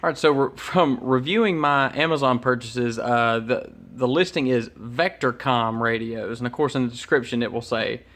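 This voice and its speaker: A man's voice: medium pitch, normal speed, hardly any pauses, fairly bright tone. Man casually thinking aloud at a workbench. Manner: hesitant, thinking aloud as he goes. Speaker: host of an electronics unboxing channel. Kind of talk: casual unscripted product unboxing commentary. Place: indoors at a workbench.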